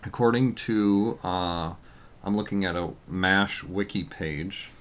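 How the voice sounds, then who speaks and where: A man co-hosting a casual podcast, talking loudly and rambling with lots of fillers. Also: low-pitched, slow pace. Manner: loud, rambling with many fillers. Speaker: podcast co-host.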